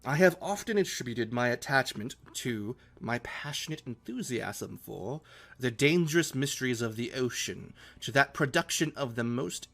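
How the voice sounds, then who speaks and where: A man reading a classic novel aloud as an earnest, romantic gentleman explorer, in formal literary phrasing. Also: medium pitch. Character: earnest, romantic young gentleman explorer writing letters home.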